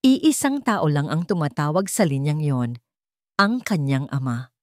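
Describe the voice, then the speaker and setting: Woman storyteller reading a drama, pretty low voice, super dry close sound. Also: sing-song, a little slow, a little mellow, no echo. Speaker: narrator. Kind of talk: dramatic scripted story narration.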